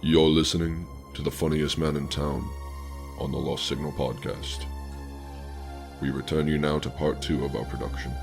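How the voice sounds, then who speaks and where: A man's voice: a bass voice, little pitch movement, moderate pace, gravelly, music in the background. A man delivering a scripted announcement in the style of an old-time radio program host. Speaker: podcast announcer.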